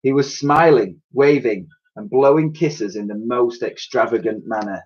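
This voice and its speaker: A man reading a children's story aloud in an animated, expressive storytelling style. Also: medium pitch, normal speed, breathy edge, lo-fi sound.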